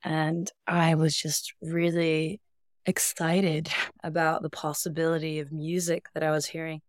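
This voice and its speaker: A woman's voice: low voice, level tone, a little slow, no room sound, clean studio sound. A young woman from Iceland, a band's singer, telling stories in a casual interview with frequent laughs. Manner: reflective, laughs often, storytelling. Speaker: band singer, guest.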